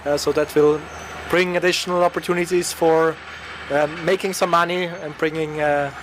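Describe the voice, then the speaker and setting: A man's voice: a little high, fairly even intonation, normal speed, background noise. A man from Switzerland, a radio interview guest, talking hesitantly with many filler sounds. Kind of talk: interview answers.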